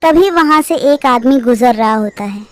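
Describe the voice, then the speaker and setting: A high-voiced woman narrates a children's cartoon fable. Her delivery is scripted storytelling. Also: lively intonation, moderate pace, soft background music.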